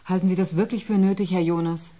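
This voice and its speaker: A woman plays a dry, stern government official in a sci-fi radio play. Her voice is on the low side and mellow. She stays formal, curt and businesslike. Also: fairly even intonation, quick pace, lightly acted. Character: stern, dry female ministry official with academic title. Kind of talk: radio drama acting.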